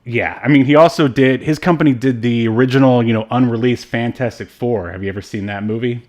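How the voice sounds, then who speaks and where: A man's voice: mid-range voice, fairly quick, darkish tone, dull audio. A man co-hosting a casual movie review podcast, rambling and hesitant with frequent asides. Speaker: podcast co-host.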